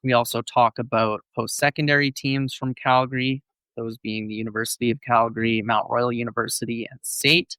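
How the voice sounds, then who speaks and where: Man, podcast co-host, smooth resonant voice, fluent explainer, dry sound. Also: mid-range voice, flat delivery, a little fast, sharp tone, no room sound, rough recording. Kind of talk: casual sports podcast chat.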